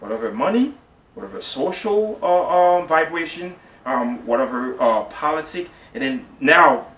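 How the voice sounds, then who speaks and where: A man's voice: a little high, expressive, normal speed, a bit bright. A man from Haiti, talking as a guest in a casual discussion, earnest and explanatory, often asking if the listener follows. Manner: earnest, explanatory, repeats "you see what I'm saying". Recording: echoey room, mic at a distance, faint noise.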